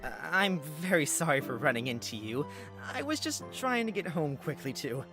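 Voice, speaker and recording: A woman voicing a timid, low-voiced, flustered young man. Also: big pitch swings, normal speed. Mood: flustered apology. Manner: hesitant, polite, stammering. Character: timid, apologetic, flustered young man, visual novel protagonist. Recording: background music under voice.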